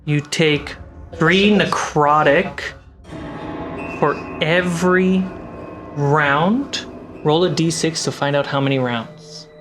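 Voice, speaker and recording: Game master for fantasy tabletop play, mid-register, unhurried dramatic storyteller, strong music bed. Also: mid-range voice. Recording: music behind the voice. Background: background music score.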